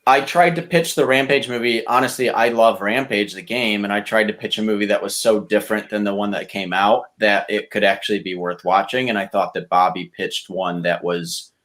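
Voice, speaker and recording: Blunt guy arguing on a podcast, swears a lot, lowish voice. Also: steady tone, fairly quick, hardly any pauses, a bit bright. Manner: blunt, profane, argumentative. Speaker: podcast contestant pitching movie reboots. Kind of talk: casual podcast debate.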